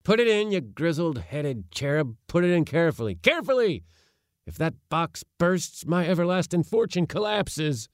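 A man's voice: mid-range voice, a little slow, gravelly, few pauses, bright, forceful tone, dry recording, clean studio sound, pitched above the performer's own voice, full character voice. A man voicing an impatient, bossy elderly uncle in a storybook reading, with a blustering, pompous, exclamatory manner. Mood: impatient, roaring.

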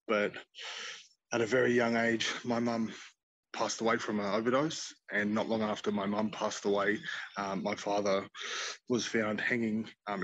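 An Australian man, a podcast guest, telling his life story in an earnest, steady way with many fillers. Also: fairly low pitch, husky, low-quality recording. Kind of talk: personal storytelling.

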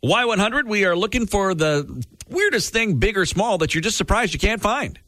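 A man co-hosting a morning radio show, easygoing and quick with jokes as he takes calls. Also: high voice, animated, pushed and bright, no room sound. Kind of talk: casual radio chat.

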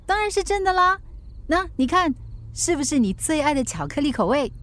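A woman's voice: sits high, big pitch swings, a bit bright, no room sound. A woman playing a kind but stubborn young delivery worker in a radio drama. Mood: bright, earnest. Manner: coaxing and earnest, quick to snap back.

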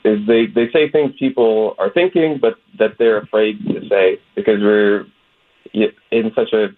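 A man's voice: fairly low pitch, steady tone, moderate pace. A man from West Virginia, a comedian being interviewed, earnestly recounting a conversation with quoted back-and-forth. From West Virginia, USA. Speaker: guest, comedian. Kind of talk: phone-in interview. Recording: tinny phone line.

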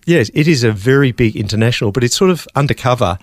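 An older man with an Australian accent, a scientist guest telling his personal story in an earnest, steady way with some restarts. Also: medium pitch, fast, dry recording. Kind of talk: personal testimony in conversation.

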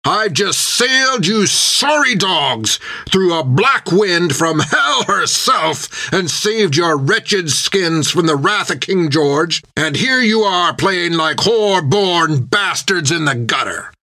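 Fierce old sea captain: raised pitch, snarling, drawn-out shouting, pirate adventure audiobook. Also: male voice, animated, exaggerated voice. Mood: furious. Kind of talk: dramatic audiobook character acting.

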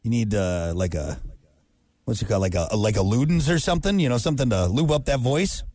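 A man co-hosting a morning radio show with a rough-sounding voice, teasing and cracking jokes. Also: mid-range voice, lively intonation, a little fast, warm tone, no echo. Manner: wisecracking, teasing. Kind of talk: jokey morning radio banter.

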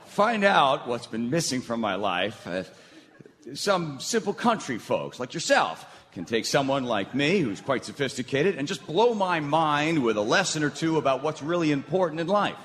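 A man's voice: on the high side, sing-song, a little slow, fairly bright tone. A man playing an awkward, self-important hometown returnee in a live comic radio play, stammering and sheepish. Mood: rueful, self-important. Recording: reverberant hall, audible background noise.

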